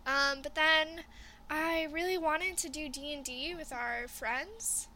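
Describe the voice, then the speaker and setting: A woman's voice: high voice, slow, pushed and bright, slight background noise, poor audio. A young woman hosting a casual podcast chat, upbeat and filler-heavy as she steers the group from topic to topic. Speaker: podcast host.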